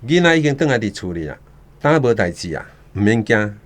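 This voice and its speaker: A man's voice: on the low side, very animated, fairly quick. Anxious, dismissive Taiwanese father. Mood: wary, insistent. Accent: Taiwanese Hokkien. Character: anxious self-protective doctor father, worldly and dismissive. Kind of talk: radio drama acting.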